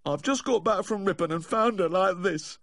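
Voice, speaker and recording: A man's voice: fairly high pitch, sing-song, mellow, no room sound, clean studio sound, voice pushed up. A man voicing a tearful, drunken little Yorkshire dog lover, slurring and pleading in a sentimental audiobook character voice. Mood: remorseful, maudlin. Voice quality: slurred, whining. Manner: pleading, sentimental, drawn-out wailing.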